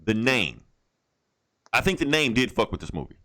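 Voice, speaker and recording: A man's voice: medium pitch, big pitch swings, moderate pace, fairly bright tone. A man hosting a podcast with casual, opinionated movie chat, swearing freely and repeating phrases for emphasis. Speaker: podcast host.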